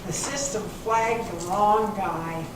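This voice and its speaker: A woman reading the part of a matter-of-fact investigator in an amateur readers theater, deliberate and explanatory. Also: mid-range voice, close to an everyday voice. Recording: echoey room from far off, clear noise.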